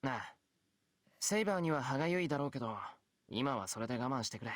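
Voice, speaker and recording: An actor playing an easygoing, earnest teenage Japanese schoolboy in a visual novel. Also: male voice, mid-range voice, expressive, racing, close to an everyday voice. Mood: earnest, firm. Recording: game music under the voice.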